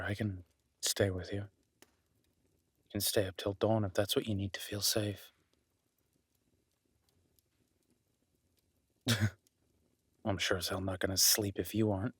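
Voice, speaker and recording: A man playing a kind, protective woodsman in an intimate roleplay audio drama, speaking in an unhurried, reassuring and wryly teasing way with a husky voice. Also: on the low side, little pitch movement, dry recording. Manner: soft-spoken, unhurried, reassuring, wryly joking. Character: gruff-kind woodsman host, gently teasing, protective cabin dweller.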